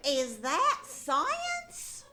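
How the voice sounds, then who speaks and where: A woman's voice: extremely high pitch, huge pitch swings, drawn-out words, loud music behind the voice, full character voice. An actress playing a folksy, puzzled and mildly exasperated mother in an old-time radio comedy.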